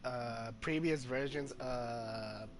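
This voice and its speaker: Slow-talking man doing game commentary. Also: mid-range voice, slight background noise. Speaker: gamer, video host. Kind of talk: casual playthrough commentary.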